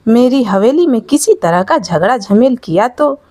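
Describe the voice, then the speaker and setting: A woman's voice: a little high, expressive, unhurried, mellow, no room sound. A woman storyteller voicing a cunning, bullying male merchant who scolds and lectures in a moral tale. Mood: irritated, bullying. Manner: scolding, lecturing, self-righteous.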